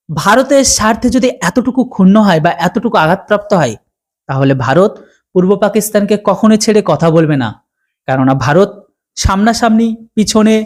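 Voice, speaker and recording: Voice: medium pitch, a little mellow. A channel host from India with an Indian Bengali accent, delivering news commentary.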